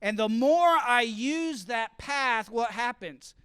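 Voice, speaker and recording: A man giving a lively teaching talk to students, often asking the room questions as he explains. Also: very high-pitched, takes it slowly, clean tone, no echo, studio quality. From grew up partly in Costa Rica. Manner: asks the audience questions, explains with extended metaphor. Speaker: college speaker teaching study skills. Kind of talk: interactive classroom talk.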